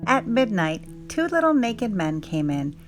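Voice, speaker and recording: A woman's voice: mid-range voice, sing-song, a little slow, soft background music. A woman reading a storybook aloud for children as a scripted narrator. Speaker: storybook reader.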